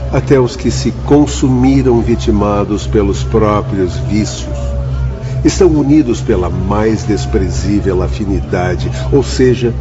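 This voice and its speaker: A Brazilian actor playing a male spirit mentor moralises sternly. The voice is fairly low and slightly muffled, declaimed in radio drama style over music. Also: measured pace, close to an everyday voice. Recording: dull band-limited sound, music underneath. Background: background music.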